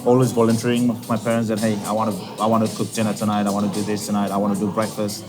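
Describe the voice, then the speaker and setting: A man from Fiji, a chef, chatting in an interview in a relaxed way with many pauses and fillers. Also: fairly low pitch. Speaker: chef, podcast guest. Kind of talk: casual interview chat. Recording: music playing behind.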